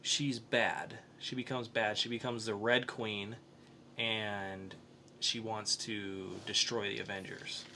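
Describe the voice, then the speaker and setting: Bright-voiced man chatting as a podcast host. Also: fairly low pitch, little pitch movement, slow, slight background noise. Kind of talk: casual film review chat.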